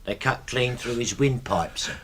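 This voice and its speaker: A man's voice: mid-range voice, moderate pace, a bit bright, a little hiss or hum, natural voice. A man with a British accent, playing a deferential, grumbling ex-soldier servant in a radio drama. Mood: careful, deferential. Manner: deferential, plain-spoken. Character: deferential, grumbling old soldier servant, long-suffering cook-batman.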